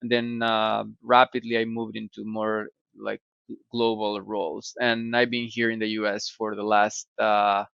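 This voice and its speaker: Gravelly Mexican-accented man on a call. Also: on the low side, level tone, no room sound. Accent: Mexican Spanish. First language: Spanish. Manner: halting, many fillers and restarts. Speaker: guest, computer scientist. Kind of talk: casual interview answers. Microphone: video call. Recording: slightly dull video call audio.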